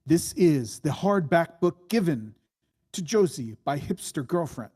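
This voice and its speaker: A man reading screenplay stage directions as dramatic narration, in a deliberate, suspenseful way. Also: on the high side, lively intonation, warm tone, slightly rough.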